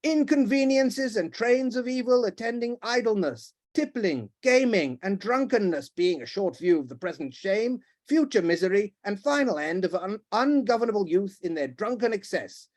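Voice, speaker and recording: A man voicing a pompous, ranting old male duke who shouts and blusters. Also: fairly high pitch, very animated, normal speed. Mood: furious.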